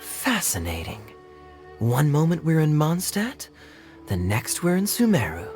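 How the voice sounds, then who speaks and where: A man voicing a suave, teasing knight captain in a video game, with a smooth, amused manner. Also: fairly high pitch, huge pitch swings, unhurried, a little mellow. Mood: intrigued. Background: faint music.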